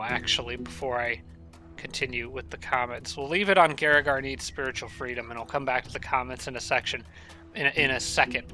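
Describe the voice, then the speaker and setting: A man's voice: mid-range voice, sharp tone. Nasal man thinking aloud over gameplay. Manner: thinking aloud, rambling with restarts. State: slightly raspy, nasal from allergies. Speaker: strategy game commentator. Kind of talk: casual gameplay commentary.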